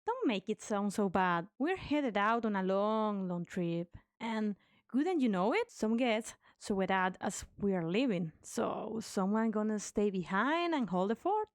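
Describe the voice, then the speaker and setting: A woman's voice: mid-range voice, big pitch swings, unhurried, no room sound, clean studio sound. A woman voicing a cocky, taunting young female demon mercenary with a sarcastic, playful, mock-polite delivery in an amateur game fan dub. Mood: mocking, gleeful.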